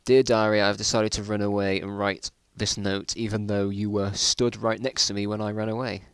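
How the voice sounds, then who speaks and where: A man's voice: fairly low pitch, level tone, moderate pace, smooth, no room sound. British man, amused and dramatic, dry close sound. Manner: amused, incredulous, breaking off to comment. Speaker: reader of his own old school stories. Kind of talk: informal dramatic reading with mocking asides.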